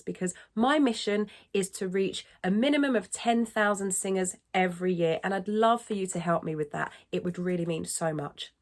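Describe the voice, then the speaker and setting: Woman vocal coach, upbeat n encouraging, bit of fry in her talk. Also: medium pitch. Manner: dips into vocal fry when speaking. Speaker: singing teacher. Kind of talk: instructional talk to camera.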